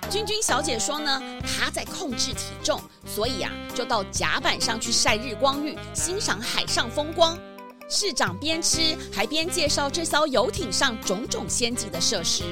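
A woman narrates a children's story in a high voice. Her delivery is animated and scripted, with music clearly playing underneath. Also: moderate pace.

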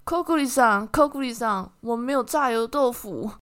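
A woman's voice: fairly high pitch, fairly bright tone, lightly acted. A woman playing a tearful, pleading schoolgirl in a horror radio play. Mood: nervous pleading. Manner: pleading, drawn-out whining. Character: panicking schoolgirl in a ghost-summoning game, tearful.

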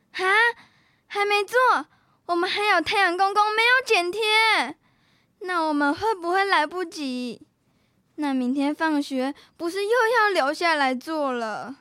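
A woman's voice: very high voice, animated, unhurried, long pauses, pushed and bright, no room sound, studio quality, full character voice. A girl acting an indignant, proud young big sister in a radio drama. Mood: busy, concerned. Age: child.

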